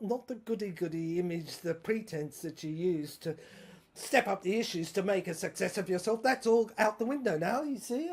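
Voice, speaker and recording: A woman playing a ranting, scheming, power-mad brother and corrupt prosecutor in an audio drama, with a theatrical, manic, rambling delivery. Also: mid-range voice. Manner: theatrical, manic rambling, swinging from shouting to muttering.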